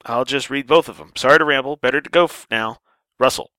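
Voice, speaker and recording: A man's voice: mid-range voice, fast, no room sound. A man hosting a podcast, talking casually with a stuffy voice after a sinus infection, joking and poking fun at himself. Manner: lively, joking and self-mocking. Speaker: podcast host.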